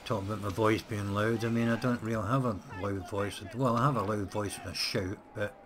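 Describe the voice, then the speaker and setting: Low-voiced man chatting through gameplay. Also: steady tone, a little fast. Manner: chatty, muttering to himself. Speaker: let's play gamer. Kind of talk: casual gameplay commentary. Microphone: desk mic set close.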